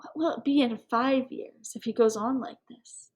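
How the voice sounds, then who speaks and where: A woman's voice: a little high, steady tone, moderate pace, natural voice. Woman acting world-weary, cynical aristocratic prince. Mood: complaining.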